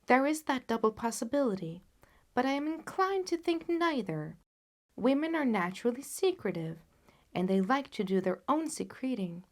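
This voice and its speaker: An assured male detective from a classic storybook, voiced by a woman narrator. He sounds analytical and instructive, laying things out briskly and with full confidence. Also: fairly high pitch, voice pushed up.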